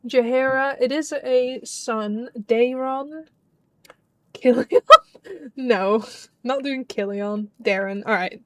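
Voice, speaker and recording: A woman's voice: on the high side, fairly even intonation, slow pace, a little mellow, no echo. Chatty Dutch woman streaming a game. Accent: Dutch with British and Scottish traces. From Netherlands. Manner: chatty, frequent ums, reads chat aloud. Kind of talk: casual gaming stream chat.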